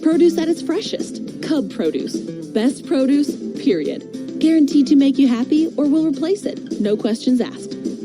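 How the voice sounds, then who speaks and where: A woman reading a punchy, playful retail radio commercial in short phrases. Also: sits high. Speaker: commercial voice-over artist. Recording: music bed behind.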